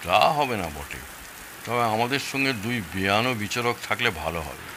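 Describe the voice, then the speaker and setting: A man's voice: on the low side, sing-song, brisk, background noise. An actor playing a boastful, emphatic older father-in-law with a Kolkata Bengali accent in an audio drama.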